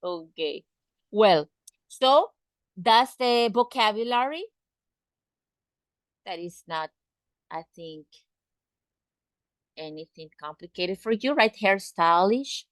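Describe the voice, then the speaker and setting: A woman's voice: mid-range voice, animated, slow, pauses often, a bit bright, no echo. A woman teaching an English class, patiently asking students questions and repeating words to drill pronunciation. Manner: asks students direct questions.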